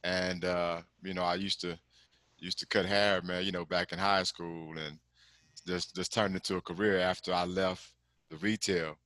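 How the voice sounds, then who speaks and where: A middle-aged man from Texas speaks as a guest over a video call. His voice is low but bright and forceful. He talks in a loose, rambling way, tossing in "man" and "you know what I'm saying." The sound is close and dry. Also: little pitch movement, normal speed, no echo. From Galveston, Texas. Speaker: guest, commercial lender.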